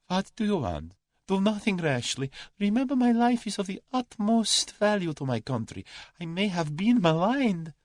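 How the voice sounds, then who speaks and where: A man voicing a cowardly, haughty aristocrat in an audiobook story, formal and halting. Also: big pitch swings, no echo, clean studio sound. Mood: frightened. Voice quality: soft-spoken, high when agitated.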